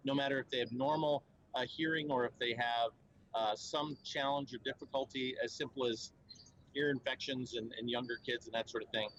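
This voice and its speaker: A man's voice: medium pitch, fairly bright tone, a little hiss or hum, rough recording. A man speaking as a company spokesman at a trade show, explaining in an earnest, fluent way with frequent fillers and restarts. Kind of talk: conversational explainer interview.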